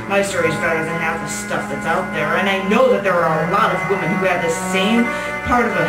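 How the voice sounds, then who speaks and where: Far from the mic in an echoey venue with loud music behind, a restless woman prisoner acted out by a woman on stage rambles through a monologue. She sounds bitter and defensive, stumbling over her words as if confiding in someone. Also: medium pitch, close to an everyday voice. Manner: halting, rambling, conversational with stammers. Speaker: poet performing live. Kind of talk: dramatic monologue read on stage. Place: small cabaret venue, live show.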